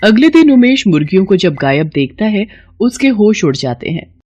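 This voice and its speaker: A woman with a slightly husky voice reading a scripted moral tale as a storyteller. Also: medium pitch, big pitch swings, moderate pace, noisy background.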